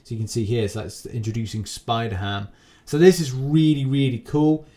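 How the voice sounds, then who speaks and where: A man's voice: medium pitch, steady tone, moderate pace, warm tone. A man hosting a gaming channel, giving casual, unscripted news commentary in a rambling, repetitive, informal way.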